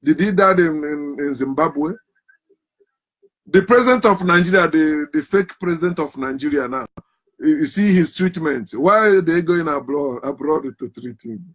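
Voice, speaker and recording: A man preaches a fiery monologue, firing off rhetorical questions. His voice sits fairly high and sounds husky. His loudness swings sharply from line to line. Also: lively intonation, moderate pace. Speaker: commentator.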